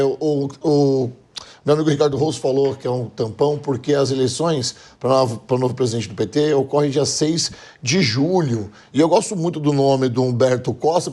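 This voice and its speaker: A man's voice: medium pitch, mellow. A man with a Brazilian accent giving informal, conversational political commentary on a live panel, correcting himself as he goes.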